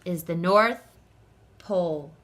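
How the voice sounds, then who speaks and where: A woman's voice: lowish voice, slow, a bit bright, pitched below the performer's own voice. A woman voicing a kindly male train conductor in a children's storybook, speaking in an emphatic, proclaiming way. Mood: informative. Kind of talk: children's storybook read-aloud.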